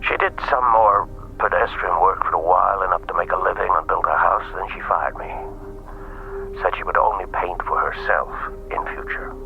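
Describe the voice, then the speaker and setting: An actor playing a reserved, faintly wistful older retired agent, speaking in measured phrases over a phone in a radio drama. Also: male voice, low voice, vocal fry. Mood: matter-of-fact, wistful. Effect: telephone filter. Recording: tinny, noisy phone line.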